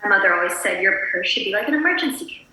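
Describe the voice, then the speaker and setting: Actress as fussy suburban housewife, bright lively voice, prim, apologetic, anxious, table read, very echoey room. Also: female voice, mid-range voice, very fast. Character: anxious, chirpy, polite suburban housewife kidnapper, woman. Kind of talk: screenplay table read acting.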